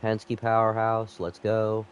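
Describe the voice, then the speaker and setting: Nasal, low-voiced male game streamer. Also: completely flat delivery, takes it slowly. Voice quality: slightly nasal. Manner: rambling, chatting with viewers. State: sick with a cold. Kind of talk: casual live gaming stream commentary.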